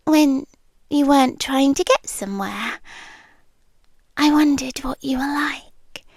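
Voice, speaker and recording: A British woman playing a shy, obsessive yandere girlfriend in an intimate ASMR roleplay, soft, breathy and hesitant, often slipping into a whisper. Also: sits high, no echo, heavily acted. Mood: nervous, smitten. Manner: slow, hesitant, confiding. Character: shy, obsessive young yandere girlfriend, sweetly intense and nervous.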